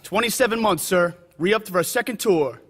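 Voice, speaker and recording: A young military guard in a radio drama. His voice is high, with a stiff, clipped, dutiful delivery. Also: moderate pace, fairly bright tone. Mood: rigid, respectful. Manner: clipped, formal, respectful.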